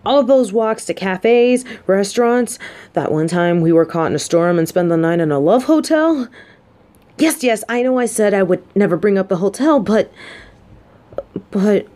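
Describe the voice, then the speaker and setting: A woman playing a female military bodyguard in a romantic audio roleplay, gruff but shy and secretly lovestruck, speaking softly and haltingly. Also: mid-range voice, expressive, lightly acted. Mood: hesitant disbelief. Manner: halting, flustered, soft-spoken.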